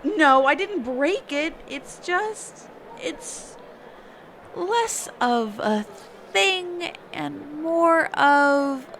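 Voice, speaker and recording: A woman voicing a reclusive, socially awkward mage teacher in a roleplay audio, speaking hesitantly and evasively with trailing pauses and hums. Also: sits high, gravelly, fairly bright tone, slight background noise, exaggerated voice. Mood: sheepish, evasive. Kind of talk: character roleplay audio, one side of a dialogue.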